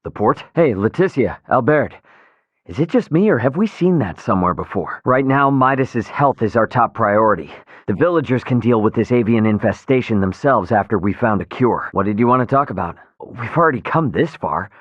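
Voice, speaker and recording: Brash, animated young American captain. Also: male voice, medium pitch, normal speed, slightly rough, no echo, muffled recording. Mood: earnest, casual. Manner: colloquial, emphatic, cocky. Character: brash young male spaceship captain, loyal and impulsive. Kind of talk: video game voice acting, casual dialogue.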